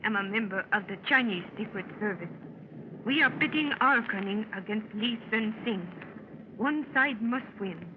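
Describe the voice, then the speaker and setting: An actress playing a cool, daring secret agent in 1930s radio style, speaking in measured, fatalistic short sentences. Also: female voice, medium pitch, expressive, close to an everyday voice. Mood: grave, resolute. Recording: tinny, very noisy 1930s radio recording.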